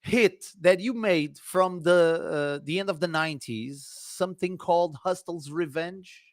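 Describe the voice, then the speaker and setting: A man from Portugal with a Portuguese accent, speaking English as a second language, hosting an interview in halting, repetitive speech. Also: fairly high pitch, no room sound. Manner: halting non-native English, repeats phrases. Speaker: radio-style interview host, DJ. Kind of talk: casual interview chat.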